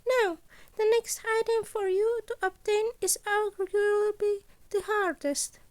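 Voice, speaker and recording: A woman's voice: extremely high pitch, steady tone, takes it slowly, voice pushed up. A woman voicing a polite, scheming animal school principal in a story, speaking formally and precisely as if lecturing. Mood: measured, instructing. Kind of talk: scripted story character voice.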